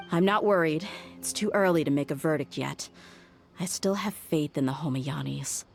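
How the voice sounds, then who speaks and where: A tough young mercenary with a notably low voice. She reasons through clues aloud, steady, loyal and deliberate. Soft game music sits under her lines. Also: creaky. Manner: thoughtful, reasons things out aloud. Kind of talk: game cutscene voice acting.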